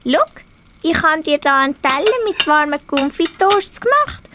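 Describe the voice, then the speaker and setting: A woman's voice: high-pitched, sing-song, moderate pace, noisy background. A young female performer playing a kindly, doting housekeeper who coos and fusses in an amateur radio play.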